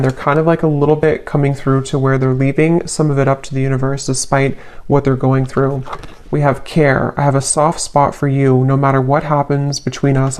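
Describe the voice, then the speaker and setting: Woman tarot reader with very even pitch. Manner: halting, musing, hedging delivery. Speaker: tarot reader, channel host. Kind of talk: casual intuitive tarot reading.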